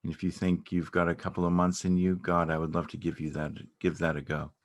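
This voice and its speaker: A man's voice: low voice, monotone, fairly quick, close to an everyday voice. A man playing an anxious, caring partner of an ill woman in a dramatic stage reading, earnest and questioning. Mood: earnest, hesitant.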